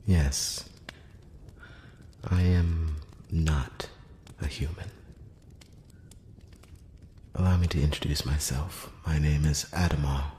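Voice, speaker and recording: A man voicing an ancient, courtly male elf emissary in a soft, whispery, close-up ASMR roleplay, speaking with formal, slightly condescending courtesy. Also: echoey room, big-room sound, slight background noise, full character voice. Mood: courtly, wry. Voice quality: very low, soft, often slipping into whisper. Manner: slow, hushed, measured, with formal courteous phrasing. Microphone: close-up microphone.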